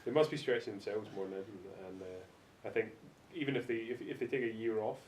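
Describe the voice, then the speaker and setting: A man with a Scottish accent chatting casually and sardonically about games, with firm opinions. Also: fast. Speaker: podcast panellist. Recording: echoey room, faint rumbling noise.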